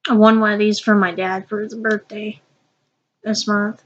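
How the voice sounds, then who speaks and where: Halting, stuffy-nosed young woman vlogger. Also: mid-range voice, little pitch movement, dull audio. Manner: halting, with many ums and restarts. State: stuffy nose, sniffling. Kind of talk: casual unboxing vlog.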